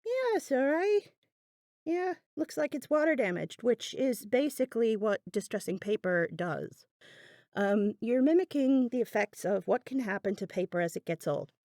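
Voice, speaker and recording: A woman's voice: a little high, expressive, moderate pace, dark tone, no room sound, clean studio sound. A woman giving a casual craft tutorial voiceover, chatty and thinking aloud as she goes. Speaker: craft channel host.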